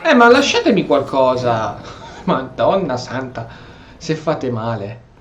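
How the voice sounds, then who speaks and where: A man's voice: medium pitch, huge pitch swings, unhurried, lo-fi sound, slight background noise. A man with an Italian accent giving casual commentary and role-playing along as he plays a video game. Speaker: gameplay streamer.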